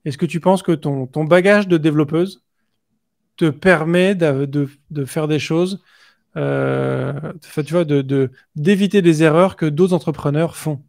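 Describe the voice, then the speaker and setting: A man's voice: a little high, unhurried, creaky, a little mellow. A man hosting a podcast, asking questions in a casual, hesitant way with many fillers. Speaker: podcast host.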